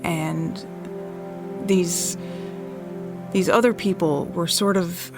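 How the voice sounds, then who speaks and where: A woman playing a shaken eyewitness in an audio drama, speaking haltingly and repeating words as she recalls events. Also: on the low side, steady tone, over music. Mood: shaken, disbelieving. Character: shaken female eyewitness recalling a night drive. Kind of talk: audio drama testimony monologue.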